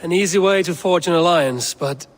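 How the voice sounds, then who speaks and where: An actor playing a bold, determined young Viking leader in a video game, resolute and formal in speech. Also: male voice, on the high side, moderate pace, slight background noise, lightly acted. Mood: solemn. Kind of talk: dramatic video game acting.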